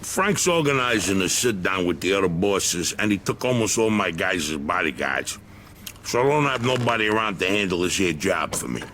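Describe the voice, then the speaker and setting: An actor playing a menacing, fast-talking, middle-aged mob boss in a video game. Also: male voice, low voice, big pitch swings, sharp tone, slight background noise, exaggerated voice. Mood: businesslike, scheming. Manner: blustering, domineering. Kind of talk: video game cutscene acting.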